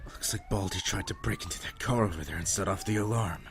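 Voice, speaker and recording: An actor playing a short, sardonic, irritable drug addict in a zombie apocalypse audio drama, blunt and deadpan. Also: low-pitched, quick pace, slightly rough. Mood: irritated.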